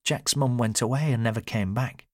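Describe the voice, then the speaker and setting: A man's voice: medium pitch, fairly even intonation, normal speed, darkish tone, no room sound, clean studio sound, voice pushed up, natural voice. A man voicing an earnest, caring grown daughter with a direct, pleading manner in an audiobook. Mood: reassuring. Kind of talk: audiobook character dialogue.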